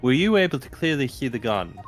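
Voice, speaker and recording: A man voicing a composed, formal investigator who questions patiently and in measured words, in a video game fan dub. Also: medium pitch, very animated, faint music behind, lightly acted. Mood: patient, probing.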